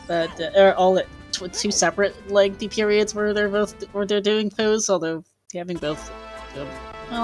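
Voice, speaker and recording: A woman with a hoarse, worn-out voice doing relaxed live commentary on a speedrun race. She rambles and restarts her sentences, filling gaps with little fillers, and her pitch sits in an ordinary middle range. Faint music plays underneath.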